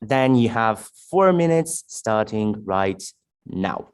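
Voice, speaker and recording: A man moderating a debate tournament on a video call. His pitch swings in a lively, animated way as he reads out introductions and rules in measured, formal sentences. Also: clean tone.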